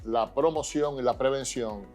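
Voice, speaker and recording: Male TV co-host, mid-pitched, steady, faint music under. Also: normal speed. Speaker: co-host, physician. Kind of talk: TV talk show hosting.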